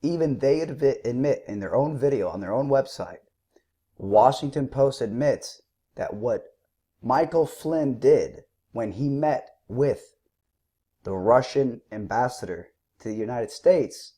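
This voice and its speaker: Hoarse man ranting in commentary. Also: medium pitch, animated, measured pace, dark tone. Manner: combative, scornful, emphatic. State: sore throat, clearing throat. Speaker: political commentary vlogger. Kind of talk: opinionated commentary.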